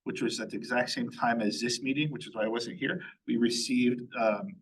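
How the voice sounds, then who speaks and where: A man's voice: mid-range voice, fairly even intonation, brisk, a little hiss or hum, low-quality recording. A man, a chief of staff, giving a matter-of-fact spoken update at a public meeting, with frequent ums and uhs.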